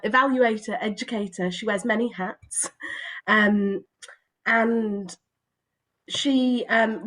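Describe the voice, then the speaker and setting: A woman hosting an online event on a video call, slightly hoarse with a cough, hesitant with many ums. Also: a little high. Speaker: event host.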